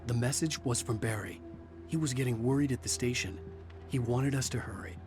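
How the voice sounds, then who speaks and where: A man's voice: medium pitch, a little fast, lightly acted. A man playing a sardonic, embattled writer on the run in a video game, with a dry, sarcastic manner. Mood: grim, earnest. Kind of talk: video game dramatic acting. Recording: loud game music behind the voice.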